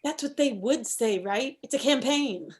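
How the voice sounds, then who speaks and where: A woman plays a cynical campaign manager in a comedy read. Her voice sits fairly high, and she is confident, pushy and sardonic. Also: expressive, unhurried. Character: brisk, cynical female political campaign manager. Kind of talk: comedy script table read.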